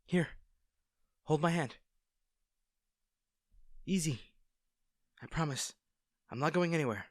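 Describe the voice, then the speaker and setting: A man playing a kind, worried young male rescuer in a roleplay audio drama, speaking in a gentle, hesitant, reassuring manner. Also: a little high, slow pace, pauses often, no room sound, clean studio sound. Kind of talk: acted first-person roleplay audio drama.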